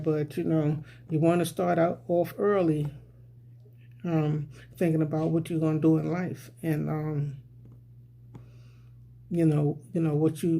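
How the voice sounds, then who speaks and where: Gravelly-voiced man ranting casually. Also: on the high side, mellow. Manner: rambling, repeats "you know what I'm saying". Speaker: vlogger. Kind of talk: casual social commentary monologue.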